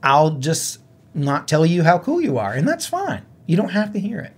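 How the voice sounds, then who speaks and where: A man's voice: on the high side, wildly swooping pitch, normal speed, slightly rough. A man co-hosting a gaming podcast in a casual, conversational way, with "like" sprinkled through his talk. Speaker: podcast co-host.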